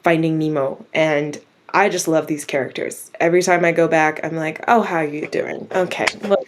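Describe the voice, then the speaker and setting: A young woman, a script writer, talking casually in an interview, animated and quick to laugh. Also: low-pitched, background noise. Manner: animated, laughs often, acts out her own thoughts.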